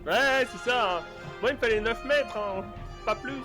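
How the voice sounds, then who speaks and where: High-voiced joking player, music behind. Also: male voice, normal speed. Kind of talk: casual play chat.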